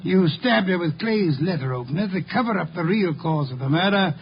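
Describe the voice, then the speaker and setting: An actor playing a brilliant, pompous, unflappable detective in a 1950s radio drama, with precise, formal diction. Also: male voice, sits high, expressive, a little fast. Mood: accusing, composed. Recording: dull, noisy old radio recording.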